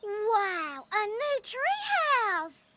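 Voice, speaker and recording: High, bright-minded cartoon girl. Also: huge pitch swings, extremely slow, exaggerated voice. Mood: admiring. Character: bright, curious, cautious young female jungle friend. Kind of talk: cartoon voice acting. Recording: music behind.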